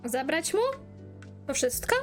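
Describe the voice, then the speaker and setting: Young Polish female gamer, high voice, casual reactive commentary over clearly audible music. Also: expressive, measured pace. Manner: informal, reacts aloud to the game. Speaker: gaming video creator. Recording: music playing behind the voice.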